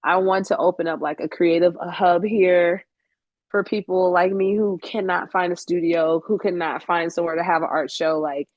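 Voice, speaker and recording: A woman's voice: lowish voice, fairly even intonation, moderate pace, dry recording, lo-fi sound. A woman in her late twenties, a podcast guest chatting casually, candid and blunt with frequent swearing and laughter slipping into her sentences. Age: 20s. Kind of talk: casual interview chat.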